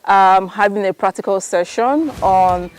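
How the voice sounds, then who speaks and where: A woman from Nigeria, interviewed as an aid worker, speaking clearly and steadily. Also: medium pitch, unhurried.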